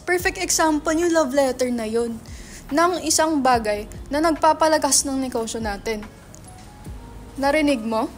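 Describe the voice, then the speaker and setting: A woman with a Filipino accent acting a brash, sarcastic, money-minded young entrepreneur in a dramatic reading, mixing English into Tagalog. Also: sits high. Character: brash, money-minded male website entrepreneur, teasing his friend. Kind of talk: dramatic reading of a stage script.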